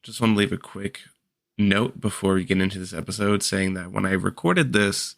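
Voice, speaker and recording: A man hosting a podcast in casual chat, a little stuffy from a cold, relaxed and rambling. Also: deep voice, moderate pace, gravelly, no room sound, clean studio sound. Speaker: podcast host.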